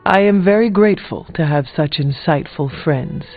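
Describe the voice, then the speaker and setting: An actor playing a stoic, guarded warrior in a video game, speaking in a formal, reserved and deliberate way. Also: male voice, a little high, close to an everyday voice. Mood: reserved, courteous. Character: stoic, guarded male demon-hunting warrior, formal and grave. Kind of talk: scripted video game character dialogue. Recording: tinny band-limited game audio over music.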